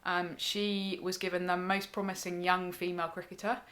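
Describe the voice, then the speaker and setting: A woman, a bit croaky, co-hosting a casual podcast and chatting with frequent ums. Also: on the low side, little pitch movement, moderate pace, a bit bright. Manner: chatty, many ums, laughs easily. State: hoarse. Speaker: podcast co-host. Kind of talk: casual cricket podcast chat.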